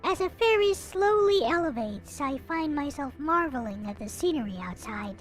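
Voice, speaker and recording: A woman reading a visual novel aloud in an expressive, theatrical way, like a dramatic narrator. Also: high voice, moderate pace. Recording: music playing behind the voice. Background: visual novel soundtrack music.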